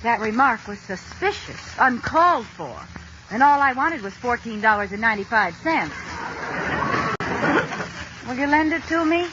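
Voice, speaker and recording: An actress playing a scheming, wheedling American housewife in a theatrical 1950s radio comedy style. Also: female voice, fairly high pitch, animated, moderate pace, long pauses, lightly acted. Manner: exaggerated, theatrical. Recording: muffled, noisy old radio recording.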